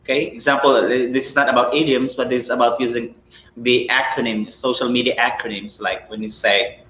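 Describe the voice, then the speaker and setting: A man's voice: medium pitch, fairly even intonation, a little fast, bright, forceful tone, echoey room, slight background noise, poor audio. A man from the Philippines with a Filipino accent, whose first language is Cebuano, teaching a live English lesson in a chatty way, with lots of "right?" and "okay?". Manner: chatty, rhetorical questions with tag "right?" and "okay?".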